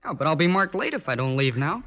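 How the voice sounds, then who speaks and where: A man's voice: fairly high pitch, sing-song, fast. An actor playing a stubborn, evasive sixteen-year-old boy with slangy, cocky talk in an old-time radio drama. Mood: evasive, defensive. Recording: muffled, noisy old radio recording.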